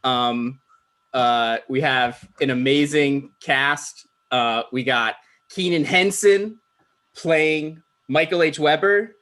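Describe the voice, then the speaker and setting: A man casually introducing a live online table read as its host, halting and sincere as he goes. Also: mid-range voice, fairly bright tone. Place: video call.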